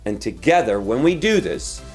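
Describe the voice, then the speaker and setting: A middle-aged man giving an emphatic, deliberate motivational talk as a coach and host, with pauses for weight. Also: medium pitch, big pitch swings, darkish tone, faint music behind. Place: indoors, room lined with bookshelves.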